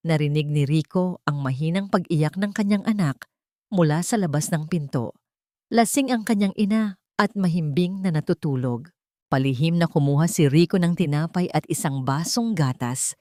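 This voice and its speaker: Lowish-voiced woman narrating a drama, dry sound. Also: mellow, no echo. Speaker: story narrator.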